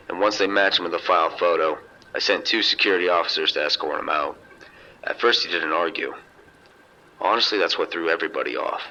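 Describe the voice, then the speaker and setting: Man acting a deep, hard-edged security chief over radio. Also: bright, forceful tone, exaggerated voice. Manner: measured, detailed incident reporting. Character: male casino security chief, seasoned, matter-of-fact, unrattled. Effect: two-way radio. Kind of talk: radio drama dialogue. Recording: dull, noisy two-way radio sound.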